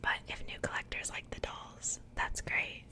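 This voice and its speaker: A woman speaking in a breathy, unvoiced whisper, chatting softly and informally in the style of an ASMR unboxing. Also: unhurried. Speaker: ASMR video creator.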